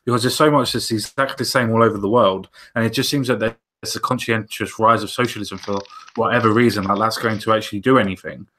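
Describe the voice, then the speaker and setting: Bright-toned opinionated man. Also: lowish voice, fairly even intonation. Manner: opinionated, quick and emphatic. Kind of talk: casual podcast discussion.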